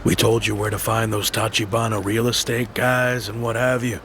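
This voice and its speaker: An actor playing a friendly, teasing homeless man in a video game dub, with a gravelly American voice. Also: lowish voice, little pitch movement, moderate pace, a bit bright, a little hiss or hum. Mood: jovial, teasing. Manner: teasing, folksy.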